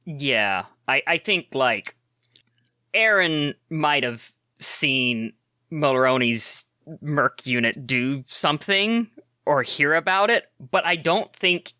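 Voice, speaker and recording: A man's voice: fairly high pitch, expressive, slow pace, bright, forceful tone, clear, resonant tone, no room sound, clean studio sound. A man playing in a tabletop actual play, describing his pilot character hesitantly with many fillers. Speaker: player.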